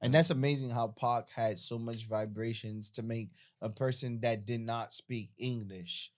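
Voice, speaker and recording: A man's voice: medium pitch, measured pace. Eager man hosting a podcast interview. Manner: eager, animated questioning. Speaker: podcast host. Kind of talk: casual interview.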